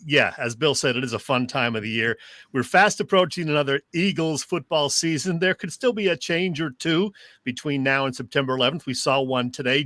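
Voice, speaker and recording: A man's voice: medium pitch, bright, forceful tone, no echo. A man hosting a sports show with casual talk and questions for a guest.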